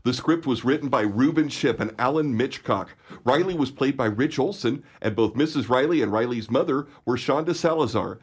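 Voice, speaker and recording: A man's voice: medium pitch, normal speed, few pauses, breathy edge. A man hosting and narrating a radio theater show, reading scripted announcements. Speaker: host and narrator.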